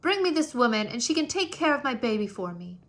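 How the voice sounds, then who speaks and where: A woman's voice: fairly high pitch, sing-song, fairly quick. A woman voicing an imperious yet tender princess in a children's storybook, with an emphatic delivery. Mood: commanding. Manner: demanding, emphatic.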